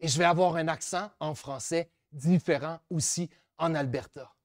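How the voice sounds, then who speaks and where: A middle-aged man with a New Brunswick French accent giving an animated motivational speech, asking rhetorical questions and switching between French and English. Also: a little high, moderate pace, dry recording, clean studio sound. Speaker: keynote speaker on French-language education.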